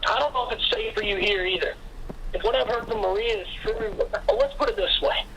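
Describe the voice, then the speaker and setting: A woman's voice: a little high, fairly quick, noisy background. A woman playing a brash, paranoid conspiracy theorist in an audio drama, blunt and urgent, through a telephone filter. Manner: urgent, blunt, salty-tongued.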